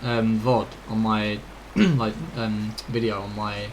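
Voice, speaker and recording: A man's voice: fairly low pitch, little pitch movement, slow pace. Informal British male game streamer, faint background noise. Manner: informal, rambling, mild swearing. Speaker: video game live streamer. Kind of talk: casual chat to viewers during gameplay. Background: faint game ambience.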